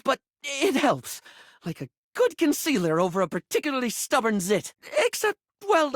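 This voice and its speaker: A man's voice: very high-pitched, fairly bright tone, dry recording, studio quality, heavily acted. A man voicing a flamboyant, scatterbrained, affectionate beauty-product character in a video game, with a theatrical, gushing and rambling delivery that stammers at times.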